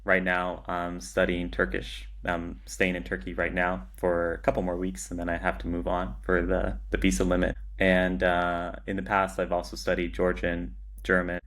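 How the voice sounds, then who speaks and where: A man with a low voice and little melodic movement talks casually as a podcast guest. He is measured and matter-of-fact, pausing as he works out his answers. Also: monotone, fairly bright tone. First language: English. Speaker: podcast guest, agency founder. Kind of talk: casual interview conversation.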